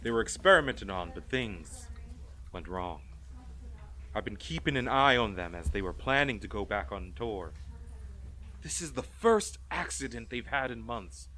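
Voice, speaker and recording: A man's voice: lowish voice, sing-song, unhurried, sharp tone, soft background music, full character voice. A man playing a guarded, guilty benefactor with a rueful, confessional manner in an audio drama.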